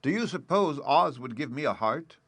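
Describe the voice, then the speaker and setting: A polite, grateful tin woodsman from a storybook, as a male narrator puts him on, pitched high and sounding sad and slow. He strains his words out and stretches them with lively rises and falls. The sound is dry and close. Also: no room sound.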